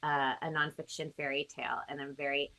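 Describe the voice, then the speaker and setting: A woman's voice: low-pitched, little pitch movement, brisk, pushed and bright. A woman chatting casually and appreciatively as the host of a music interview. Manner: gushing, appreciative. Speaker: host and singer-songwriter. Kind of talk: casual video-call chat.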